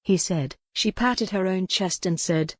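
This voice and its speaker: A woman's voice: on the low side, unhurried, no echo, clean studio sound. A woman reading a historical romance novel as a scripted, dramatic audiobook narration.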